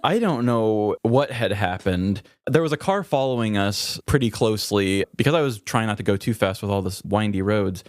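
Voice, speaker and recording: A man's voice: on the low side, animated, normal speed, hardly any pauses, dry recording. Man telling casual stories, dry close sound. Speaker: podcast co-host. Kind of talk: casual comedic storytelling.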